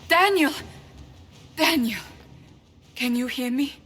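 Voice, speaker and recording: A woman's voice: high voice, lively intonation, takes it slowly, a bit bright, slightly rough, exaggerated voice. An actress playing a stern, devout woman warrior in a video game, speaking formally and earnestly. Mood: alarmed. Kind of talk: dramatic video game acting. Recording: music behind the voice.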